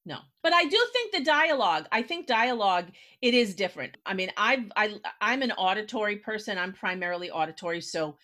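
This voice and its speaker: A woman's voice: medium pitch, big pitch swings, brisk, few pauses, pushed and bright. A woman with an American accent hosting a conversational interview, explaining her points emphatically with examples. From United States. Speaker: podcast host. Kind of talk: conversational interview about writing.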